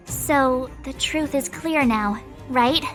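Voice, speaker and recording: An earnest young adventurer girl in a video game. She speaks high and bright with lively swings of pitch, taking her time, in an informal, expressive way. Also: slow pace, faint music behind. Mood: concerned.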